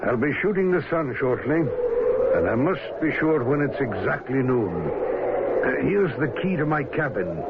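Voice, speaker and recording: An actor playing a commanding, enigmatic ship's captain in a vintage radio drama, with an emphatic, theatrical delivery. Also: male voice, mid-range voice, close to an everyday voice. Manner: theatrical, emphatic, speaking with authority. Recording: tinny, very noisy old radio broadcast.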